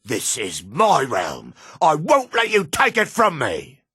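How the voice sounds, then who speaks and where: Forceful, raspy dark elf fighter. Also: male voice, fairly high pitch, wildly swooping pitch, slow pace, no echo, studio quality, full character voice. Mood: defiant. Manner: dramatic, declamatory. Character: fierce, righteous male dark elf fighter. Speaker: video game voice actor. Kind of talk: fantasy game combat lines.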